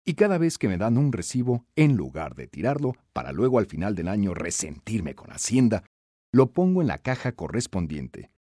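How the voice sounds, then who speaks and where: A man narrating a scripted self-help audiobook in an emphatic, instructive, expressive way. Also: lowish voice, normal speed, slightly rough, no room sound, clean studio sound.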